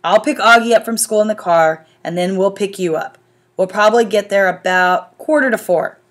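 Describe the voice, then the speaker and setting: A woman's voice: mid-range voice, moderate pace, close to an everyday voice. A woman voicing a worried, overprotective middle-aged mother who talks anxiously. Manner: anxious, apologetic.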